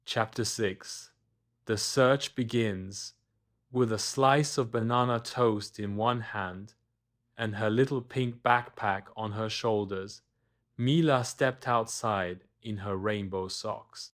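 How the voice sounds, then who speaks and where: A man narrating a scripted children's bedtime story, expressive and deliberate, with dramatic pauses. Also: lowish voice.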